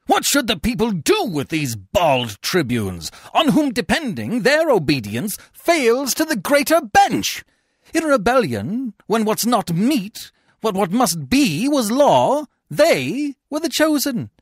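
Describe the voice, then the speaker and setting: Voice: medium pitch, huge pitch swings, drawn-out words, hardly any pauses, a bit bright, breathy edge, dry recording, studio quality, exaggerated voice. A reader voicing a defiant, proud Roman warrior in a theatrical Shakespeare read-aloud, scornful and declamatory.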